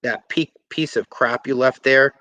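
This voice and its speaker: A man voicing a menacing schoolboy bully who talks softly with mock earnestness in a storybook reading. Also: medium pitch, fairly even intonation, a little slow, no room sound, dull audio, pitched above the performer's own voice. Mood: quiet menace. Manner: soft-spoken, earnest, threatening. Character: menacing eighth-grade boy bully, cool and mock-earnest.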